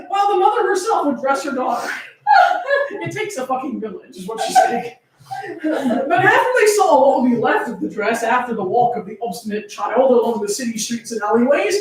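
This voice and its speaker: A woman giving a dramatic, comedic reading of fan fiction, with an amused manner. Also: high voice. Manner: amused, often breaking into laughter while reading. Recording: echoey room, distant microphone.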